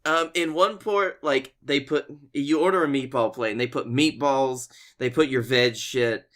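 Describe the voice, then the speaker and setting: A man's voice: mid-range voice, measured pace, a bit bright. An American man with a mid-range voice, co-hosting a comedy podcast. He tells stories in a loose, rambling, conversational way and swears casually along the way. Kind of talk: casual comic storytelling chat.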